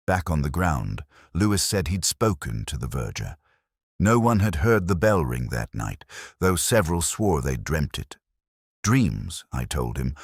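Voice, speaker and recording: A man reading a first-person mystery as the narrator, in a measured, deliberate and wry way. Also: a bass voice, no room sound, clean studio sound.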